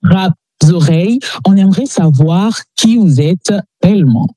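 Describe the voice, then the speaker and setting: Casting: female radio interviewer, lowish pitch, relaxed mellow tone, recorded close and dry. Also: moderate pace, husky, no room sound. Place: radio studio.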